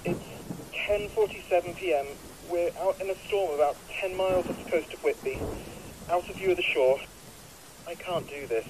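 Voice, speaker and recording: Rain and thunder crowd in on a high-voiced man in a horror audio drama, played by an actor. He is a despairing sailor making distress calls, panicked and pleading, as the storm clearly muddies the recording. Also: normal speed, natural voice. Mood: desperate. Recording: noisy radio-style recording, rain and thunder.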